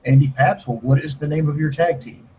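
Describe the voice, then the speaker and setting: A man's voice: on the high side, fairly quick, darkish tone, a little hiss or hum, low-quality recording. A man co-hosting a wrestling podcast in casual chat, answering listener questions in a blunt, joking way. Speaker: podcast co-host.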